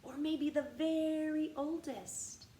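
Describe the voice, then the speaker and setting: A woman hosts a read-aloud for young children in a fairly high voice. Her delivery is lively and expressive, taking its time as she speaks straight to the listeners. The room rings noticeably, with slight noise behind her. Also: slow pace. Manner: animated, addressing young children with questions. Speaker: storytime reader and host. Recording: roomy echo with faint background noise.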